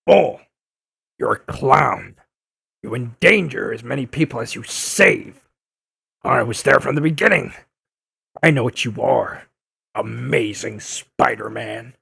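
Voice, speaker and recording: A man playing a bitter, blustering old newspaperman turned blogger in an audio drama, with a gravelly, raspy voice and an accusatory, declaiming manner. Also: medium pitch, lively intonation, slow pace, pauses often, bright, forceful tone, heavily acted. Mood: indignant, accusing. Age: older. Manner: accusatory, indignant, theatrical declaiming.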